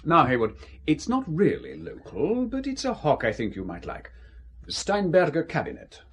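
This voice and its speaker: A swooping, urbane, menacing spymaster. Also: male voice, on the high side, wildly swooping pitch, normal speed, a bit bright, slightly rough, full character voice. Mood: genial hosting. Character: urbane, philosophising male spymaster, genial yet menacing. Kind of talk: radio drama acting.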